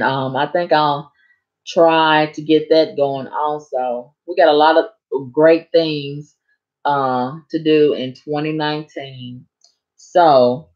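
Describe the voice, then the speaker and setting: A woman chatting casually on a live stream to her viewers, rambling and a bit hoarse from talking so long. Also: low-pitched, highs cut off.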